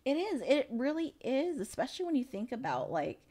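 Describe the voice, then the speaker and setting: A woman's voice: high voice, sing-song, fairly quick, warm tone. A woman in her thirties talking casually and emphatically as a podcast co-host, in informal, conversational phrasing. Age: 30s. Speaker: podcast co-host, cannabis industry professional. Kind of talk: casual chat.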